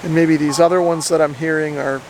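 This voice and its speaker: A man's voice: fairly high pitch, fairly even intonation, brisk, darkish tone, a little hiss or hum. A man narrating a casual vlog in an informal, explanatory way, thinking aloud as he goes. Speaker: vlogger, radio hobbyist.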